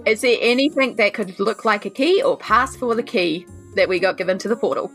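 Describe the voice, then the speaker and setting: A woman chatting casually as a player in a tabletop role-playing game, reasoning out loud in an informal way. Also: medium pitch, a little fast. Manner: informal, thinking aloud. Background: background music.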